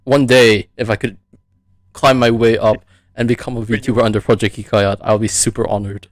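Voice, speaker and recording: A man's voice: lowish voice, level tone, moderate pace, dry recording. A man from the United States chatting casually as the host of a live stream podcast.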